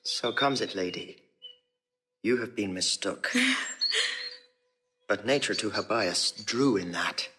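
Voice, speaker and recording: A man playing an earnest young gentleman, a long-lost twin, in heartfelt, measured classical Shakespearean acting. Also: on the low side, very animated, husky, full character voice. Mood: gently amused.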